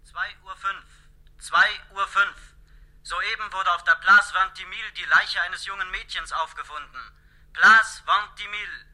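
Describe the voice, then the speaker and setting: An actor playing an urgent police dispatcher in a radio crime drama, announcing insistently. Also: male voice, sits high, fairly even intonation, unhurried, pushed and bright, exaggerated voice, low-quality recording. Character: urgent male police dispatcher barking out an alarm.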